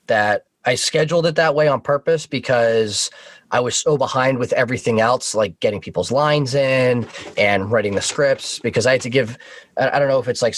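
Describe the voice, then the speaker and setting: A man chatting casually as a podcast guest, explaining things in a halting, discursive way with restarts and asides. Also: mid-range voice, no room sound. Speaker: podcast guest, audio drama creator. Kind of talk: casual interview chat.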